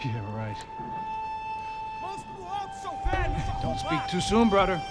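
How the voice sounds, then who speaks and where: An actor playing a streetwise bodyguard, wry and brotherly, in an action game. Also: male voice, sits high, big pitch swings, moderate pace, over loud music. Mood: cool, wry. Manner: wry, familiar. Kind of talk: action game cutscene acting.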